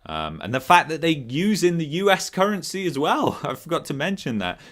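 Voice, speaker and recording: A man hosting a live finance question-and-answer stream, hoarse from a sore throat, rambling, losing his thread and chuckling as he talks. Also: fairly high pitch, big pitch swings, brisk, a bit bright. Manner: rambling, loses his train of thought, chuckles often. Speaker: finance channel host.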